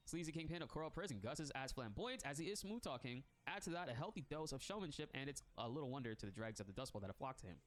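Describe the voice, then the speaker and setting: Excitable congested young-sounding man. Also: mid-range voice. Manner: excitable, laughs often, slangy. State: congested from a cold. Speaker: reaction streamer host. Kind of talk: casual reaction chat, reading text aloud.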